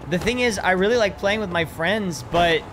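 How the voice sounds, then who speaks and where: A hoarse man in his thirties, casually commenting on a video game as he plays it, reading the subtitles aloud and swearing offhand. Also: sits high, normal speed, noisy background. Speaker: streamer. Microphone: headset or desk mic, webcam.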